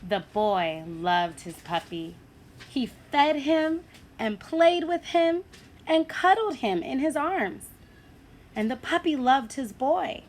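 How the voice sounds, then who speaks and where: A woman teacher reading a picture book aloud to children, animated and asking her listeners questions. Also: high-pitched, a little hiss or hum.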